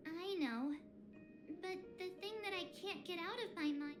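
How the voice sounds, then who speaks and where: A woman's voice: extremely high pitch, moderate pace. A woman voicing an earnest, wistful young girl in a video game, with a girlish, reflective delivery. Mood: earnest, troubled. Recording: game audio under loud music.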